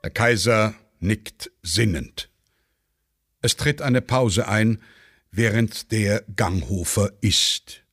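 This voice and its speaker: A man narrating a scripted radio play in a deliberate, measured manner. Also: on the low side, a bit bright, dry recording, studio quality.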